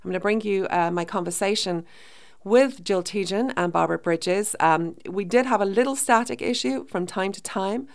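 A woman hosting a radio talk show, her voice hoarse while she recovers from bronchitis, enthusiastic as she introduces guests. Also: medium pitch, moderate pace.

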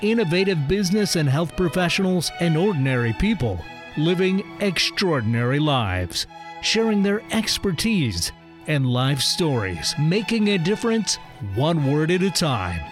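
A man reading a scripted radio show introduction in a measured announcer delivery. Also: fairly high pitch, big pitch swings. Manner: slow, lilting announcer delivery. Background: music.

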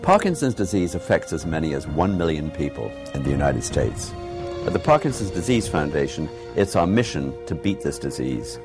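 Announcer, man, very deep voice, lively reading, over a music bed. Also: normal speed. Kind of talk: scripted public service announcement.

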